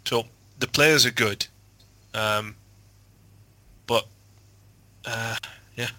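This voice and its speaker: A man's voice: mid-range voice, extremely slow, sharp tone. A man on a football podcast panel who talks hesitantly, trailing off with ums and restarts. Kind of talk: casual football chat.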